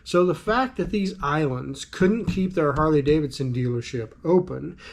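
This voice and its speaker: A middle-aged man with a raspy voice and a slight cold, musing casually to camera as a vlogger, with frequent ums. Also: a little high, moderate pace. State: has a cold.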